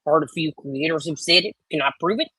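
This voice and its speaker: A woman co-hosting casually, chatting and reading online comments aloud in a loud, theatrical, emphatic manner. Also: sits low, a little fast, slightly rough, no echo. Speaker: co-host.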